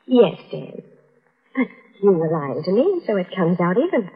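A woman's voice: fairly low pitch, sing-song, normal speed, breathy edge, heavily acted. A scheming young woman whose sweet, lilting voice hides a cold, calculating edge. She coaxes and then cuts. The sound is dull and muffled like an old radio broadcast. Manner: sweetly coaxing turning cold and cutting. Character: scheming young fiancée, coolly blackmailing her killer lover. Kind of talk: 1940s radio drama acting.

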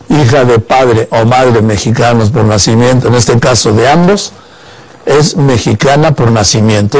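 A man's voice: medium pitch, darkish tone, poor audio. An older man born in Madrid, hosting a radio interview and pressing his guest with direct, conversational questions. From Spain, born in Madrid. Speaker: radio host.

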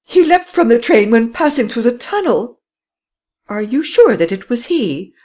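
A woman reading an audiobook voices a wealthy, theatrical lady who gasps out breathless, high exclamations. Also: big pitch swings, measured pace, warm tone. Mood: insistent. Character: rich frightened lady, excitable and theatrical.

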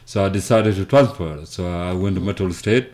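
A man with a South Sudanese accent telling his story as an interview guest, haltingly and with repeated words. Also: low-pitched, a little mellow, a little hiss or hum. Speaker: interview guest, foundation founder. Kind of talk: conversational storytelling.

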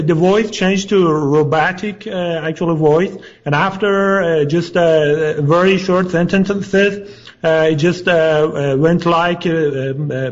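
Caller on skype, guy, kinda high voice, lots of uh's, hollow muffled line. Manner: halting, heavy fillers. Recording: hollow-sounding Skype call.

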